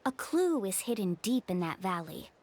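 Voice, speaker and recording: A woman's voice: mid-range voice, very animated, normal speed, a little mellow, a little hiss or hum, close to an everyday voice. A woman voicing a cheerful, confident young female guide in a video game, upbeat and encouraging. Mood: explaining. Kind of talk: animated video game dialogue.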